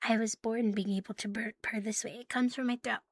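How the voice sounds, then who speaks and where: A woman livestreaming ASMR in a playful, cutesy catgirl manner, soft and breathy, often whispering, close to the microphone. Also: a little high, steady tone, brisk, dry recording. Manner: playful, cutesy catgirl persona, with giggles and purring. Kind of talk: ASMR, intimate chat to live listeners.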